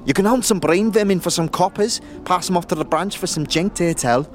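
A male actor plays a scrawny, wary young slum dweller in a fantasy audio drama. The voice sits fairly high and trips over itself with nervous stammers and rough street slang. A light layer of noisy ambience runs behind it. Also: fairly quick, close to an everyday voice. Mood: warning, guarded.

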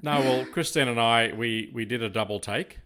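An older Australian man co-hosting a casual podcast, talking in a rambling, deliberate way. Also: medium pitch, pushed and bright. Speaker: podcast co-host.

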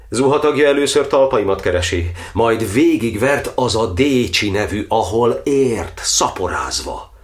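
Radio drama enduring, tormented prisoner-priest: low voice, measured declamatory verse narration. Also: male voice. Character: tormented imprisoned cardinal recounting his torture, grim, enduring.

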